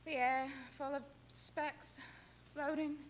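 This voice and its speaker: A woman playing a frail, frightened young gentlewoman in a radio drama, with faltering, tremulous delivery. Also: high voice, flat delivery, takes it slowly. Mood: dazed, fearful. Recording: faint background noise.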